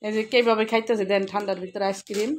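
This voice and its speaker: A woman's voice: medium pitch, little pitch movement, fast, a little hiss or hum. A woman with a Bangladeshi accent chatting informally as a mother vlogging her family life at home. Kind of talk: casual home vlog chat to viewers and children.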